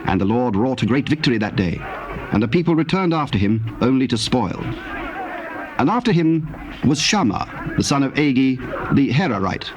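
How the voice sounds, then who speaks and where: A man narrating scripture from the King James Bible in a formal, measured storytelling manner. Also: mid-range voice, sing-song. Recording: old cassette tape, faint background noise.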